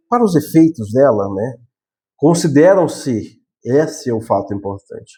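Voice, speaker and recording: A man's voice: mid-range voice, dark tone. A Brazilian man explaining law in a conversational lecture, often adding tag questions and correcting himself as he goes. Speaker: law teacher.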